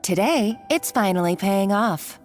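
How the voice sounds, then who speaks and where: A woman's voice: mid-range voice, lively intonation, a little slow, natural voice. Woman acting a smug villainess with swooping, theatrical delivery. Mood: smug, bossy. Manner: taunting, condescending, theatrical. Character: smug, sneering female villain, domineering and mocking schemer. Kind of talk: dramatic video game voice acting. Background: background music.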